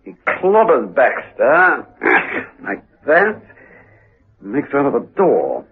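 An actor playing a suave, inquisitive secret agent in a radio drama, clipped and urbane. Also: male voice, mid-range voice, wildly swooping pitch, slow pace, husky, exaggerated voice. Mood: coolly probing. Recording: muffled, lo-fi radio recording.

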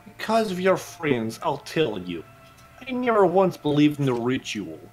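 A man voicing a creepy, lovesick young man with a theatrical, drawn-out delivery in a game fan dub. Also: a little high, huge pitch swings, darkish tone, faint music behind, exaggerated voice. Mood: eerily composed. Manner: slow, dramatic, drawn-out delivery.

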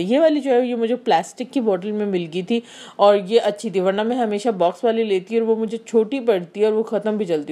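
A woman's voice: medium pitch, warm tone. A woman from Pakistan with a Karachi Urdu accent, chatting casually through a grocery haul voice-over and explaining each item with many fillers. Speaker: vlogger.

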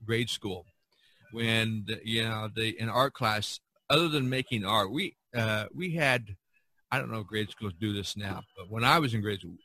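A man's voice: on the low side, moderate pace, pushed and bright, dry recording, rough recording. A middle-aged man hosting a podcast, chatting casually and ramblingly about art. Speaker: podcast host.

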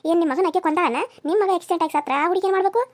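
Woman as protective neighbour, outspoken, indignant, very high voice, fast, North Karnataka accent, melodrama. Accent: North Karnataka Kannada. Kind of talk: family melodrama.

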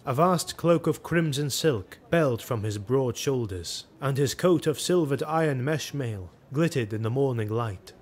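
A man's voice: medium pitch, lively intonation, a little slow, few pauses. A man narrating a fantasy audiodrama in a grave, ornate storytelling manner. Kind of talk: dramatic scripted audiodrama narration.

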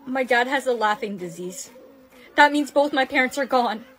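A woman's voice: high-pitched, lively intonation, normal speed, a bit bright. A woman playing a frightened teenage girl in a skit drama, anxious and pleading. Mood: worried.

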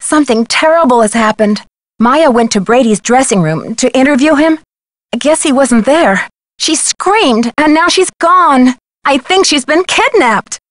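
Young female game detective, earnest, determined, articulate, slightly high voice, dry studio sound. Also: animated, a little slow, no room sound. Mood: shaken, urgent. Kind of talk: video game voice acting, phone dialogue.

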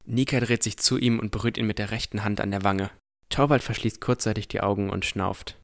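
A cautious boy adventurer, played by a man narrates in the present tense. The voice is fairly low, with a scripted fantasy audio drama delivery full of careful wonder. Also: fairly even intonation, a little fast, gravelly. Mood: watchful.

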